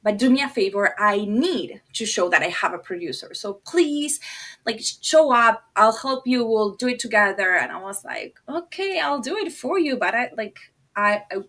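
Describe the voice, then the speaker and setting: A woman's voice: a little high, animated, moderate pace, sharp tone. A middle-aged woman from Argentina, a native Spanish speaker, telling stories casually and animatedly, with self-deprecating asides and acted-out dialogue. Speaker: podcast guest, designer and producer. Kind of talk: casual conversational storytelling.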